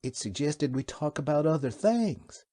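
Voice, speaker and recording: A man's voice: a little high, animated, a little slow, dark tone, no room sound, clean studio sound, pitched above the performer's own voice. A man playing an earnest young crewman who stammers a little. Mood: nervous.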